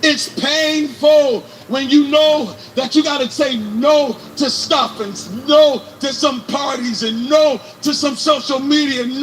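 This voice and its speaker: A man giving an intense motivational speech to students, repeating phrases and building up to shouts. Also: very high-pitched, poor audio. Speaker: motivational speaker. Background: music.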